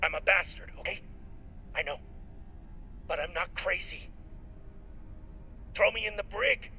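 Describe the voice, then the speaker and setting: An actor playing a desperate, self-serving, profane spaceship captain in a dramatic sci-fi game. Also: male voice, sits high, measured pace, bright, forceful tone, soft background music, exaggerated voice. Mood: urgent bargaining. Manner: gruff, profane, pleading. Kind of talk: dramatic video game acting.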